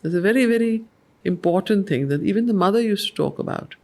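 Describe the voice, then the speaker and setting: A woman leading a study circle, reading verse slowly and then explaining it to the group in an earnest, questioning way. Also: mid-range voice, lively intonation, darkish tone. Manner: slow, measured recitation that repeats lines of verse, then conversational explaining; earnest, asks the group questions and answers them herself. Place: weekly study group gathering.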